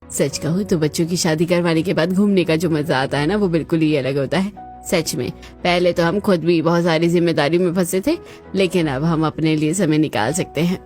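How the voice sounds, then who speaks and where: A woman's voice: deep voice, moderate pace, a little mellow, soft background music, pitched below the performer's own voice, close to an everyday voice. A woman voicing a chatty middle-aged mother-in-law in a cartoon, breezy and gossipy in tone.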